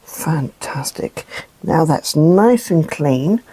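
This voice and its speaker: A woman playing a gentle, caring adult in a children's puppet show, soothing a child with simple explanations. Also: deep voice, lively intonation, drawn-out words, slightly rough, dry recording, full character voice, low-quality recording. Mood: gentle, instructive.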